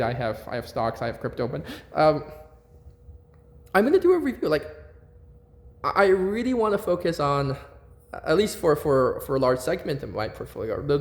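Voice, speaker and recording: A man in his forties talking casually to his viewers, animated and repeating words, with frequent fillers and tag questions. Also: mid-range voice. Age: 40s. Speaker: vlogger. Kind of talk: casual monologue to camera. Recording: echoey room.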